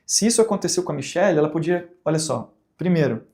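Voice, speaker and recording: Man, Brazilian Portuguese accent, course instructor, rhetorical questions, fairly high voice, warm tone. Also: expressive, fast talker. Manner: conversational, asks rhetorical questions, acts out examples. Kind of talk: recorded teaching talk.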